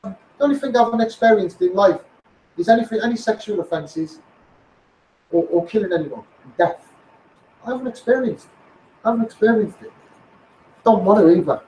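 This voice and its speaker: Male Scouse campaigner, high voice, megaphone; emphatic repetitive rant, distant from the mic with echo. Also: lively intonation, fairly quick, pauses often, a little mellow. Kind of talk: impassioned monologue rant. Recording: echoey, distant, noisy megaphone recording.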